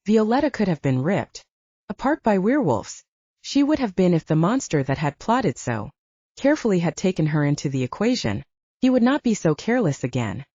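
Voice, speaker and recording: A woman's voice: fairly low pitch, big pitch swings, brisk, warm tone, slightly rough, no room sound, highs cut off. A woman narrating a dramatic fiction audiobook, reading from a script.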